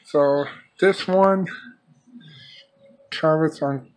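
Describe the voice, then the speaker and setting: A man casually showing off gadgets in a halting, repetitive, plain-spoken way, recorded on a handheld camera in a small bedroom. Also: a little high. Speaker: vlogger showing a weather radio collection. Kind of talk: casual product showcase. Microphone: handheld camera held by the speaker. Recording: noisy handheld camera audio.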